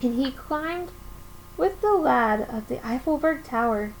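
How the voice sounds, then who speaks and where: A woman reading a children's storybook aloud as a teacher at story time, in an animated style. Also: sits high, unhurried, rough recording. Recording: faint background noise.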